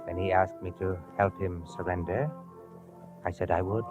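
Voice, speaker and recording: Low, muffled, earnest working man, acted by a man. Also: steady tone, normal speed, over music, lightly acted. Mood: sober. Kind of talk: 1950s radio drama acting. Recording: muffled, band-limited 1950 radio recording.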